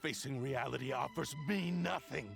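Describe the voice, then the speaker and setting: An actor playing the arrogant, obsessive spirit of a cursed sword in a video game, theatrical and menacing with a gravelly voice. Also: male voice, medium pitch, moderate pace, over music. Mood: brooding, defiant. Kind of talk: dramatic video game voice acting.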